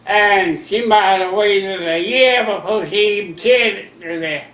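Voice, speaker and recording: A man in his nineties slowly recounting old memories in a folksy, rambling way, using plain rural grammar. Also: high voice, a bit bright, reverberant. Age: 90s. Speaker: interviewee. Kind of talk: rambling recollection.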